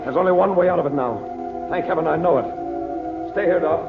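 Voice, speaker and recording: An actor playing a calm, firm magician in an old radio drama, reassuring his frightened sister. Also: a little high, a little fast, gravelly, loud music behind the voice. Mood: urgent. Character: steady protective brother, a magician. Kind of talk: 1940s radio acting. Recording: tinny old radio transcription.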